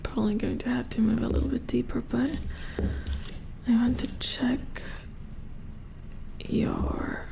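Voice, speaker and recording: A calm, methodical robot engineer played by a woman whispers close to the mic in an ASMR roleplay. Her voice is fairly high with a slight creak, and she murmurs slowly, as if absorbed in delicate work. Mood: calm, focused. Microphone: close ASMR microphone.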